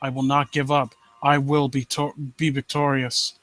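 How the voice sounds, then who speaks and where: A man plays a vengeful brother hungry for the throne in a dramatic story reading. The delivery is grim and deliberate, set low against his usual talk, with a bright edge and a very dry, close sound. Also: level tone, dry recording, close to an everyday voice. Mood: grim resolve. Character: vengeful ambitious brother plotting to seize the throne.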